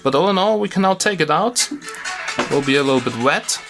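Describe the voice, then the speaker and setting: A man's voice: on the high side, lively intonation, brisk, over music. A man giving a casual hands-on product review in an informal, matter-of-fact way. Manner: informal, matter-of-fact, occasional mild swearing. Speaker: tech reviewer and presenter. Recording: clearly noisy location recording.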